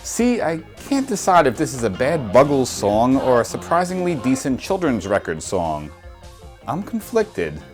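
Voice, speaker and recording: A man's voice: medium pitch, huge pitch swings, measured pace. A man in his early forties narrating a scripted review with wry, dry humour. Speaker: record reviewer, presenter. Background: music.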